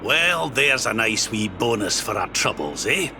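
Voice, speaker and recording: A man's voice: mid-range voice, very animated, measured pace. A man playing a wry, practical adventurer in a video game, musing. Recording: game music behind the voice.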